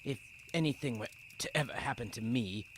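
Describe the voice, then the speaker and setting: A man's voice: mid-range voice, fairly quick, slight background noise, lightly acted. An actor playing a blunt, earnest Roman prison guard and worried father in an audio drama. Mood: worried, tender.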